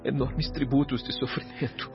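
An actor playing a grave spirit mentor in a Brazilian radio drama, speaking in a solemn, deliberate, preaching way. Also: male voice, mid-range voice, steady tone, creaky, close to an everyday voice. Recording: dull lo-fi, music underneath.

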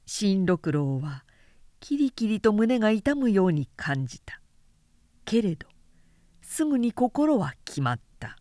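A woman narrates a literary period story at an unhurried pace. Her pitch moves expressively through the sentences, and the sound is dry, with hardly any room. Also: sing-song, dark tone, no echo. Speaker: narrator reading a story aloud.

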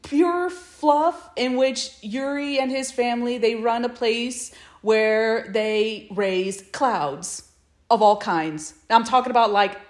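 A woman's voice: fairly high pitch, takes it slowly. A woman co-hosting a casual fan podcast, talking with gushing enthusiasm. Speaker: podcast co-host.

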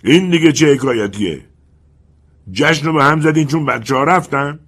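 A man's voice: medium pitch, lively intonation, husky, pitched above the performer's own voice. A man playing a bitter, greedy middle-aged colonel who speaks harshly.

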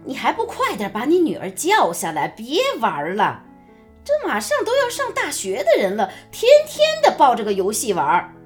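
Woman acting fussy middle-aged mom, high animated voice, radio play, music behind. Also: moderate pace. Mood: nagging. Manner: nagging, exclamatory. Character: fussing, coaxing middle-aged mother and professor's wife. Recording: music under the voice.

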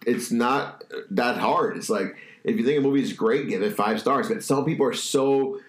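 A man's voice: medium pitch, moderate pace. Stuffy-nosed guy ranting casually on a podcast, loose and a bit profane, roomy echo. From New England. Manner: loose, profane, opinionated riffing. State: congested, stuffy nose. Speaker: podcast host. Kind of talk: casual chat. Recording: echoey room.

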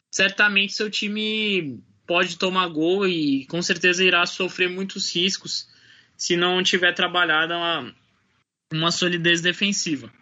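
A man talking football in a casual panel, thoughtful and halting, often restarting his sentences. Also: sits high, sharp tone. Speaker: podcast panelist. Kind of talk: casual sports discussion. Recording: dull lo-fi remote audio.

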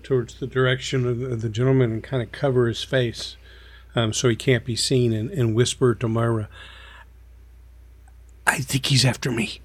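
A man playing a tabletop game who describes his character in a low, conspiratorial way. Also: little pitch movement, moderate pace. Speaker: player.

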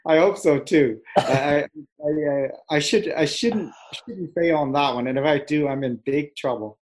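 A man from western Canada, a podcast guest, answering in casual talk with short replies and halting restarts. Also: on the high side, darkish tone, rough recording.

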